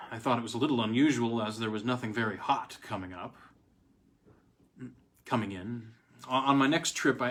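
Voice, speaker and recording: Guy reading an old spooky story, medium pitch, dramatic pauses, leans on key words. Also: a bit bright. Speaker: reader of vintage weird fiction. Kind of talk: dramatic first-person story narration.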